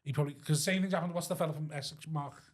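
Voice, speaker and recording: A man's voice: fairly high pitch, steady tone. A man hosting a podcast. He jokes a lot and keeps restarting his words in a stammering rush. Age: 40s. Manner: rapid, stammering restarts, jokey. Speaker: podcast host. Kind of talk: casual podcast chat.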